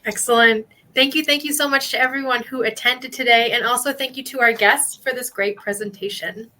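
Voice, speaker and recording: Bright high-voiced woman moderator. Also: steady tone. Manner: friendly, brisk announcements. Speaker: webinar moderator. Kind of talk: webinar closing.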